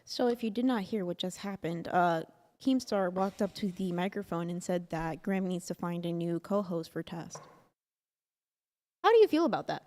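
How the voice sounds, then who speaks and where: A woman's voice: lowish voice, lively intonation, normal speed, a little mellow. A woman hosting a casual talk show, rambling along with many "like"s and "um"s. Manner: rambling, filler-heavy, lots of "like" and "um". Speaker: podcast host. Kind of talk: casual chat. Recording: long hall reverb.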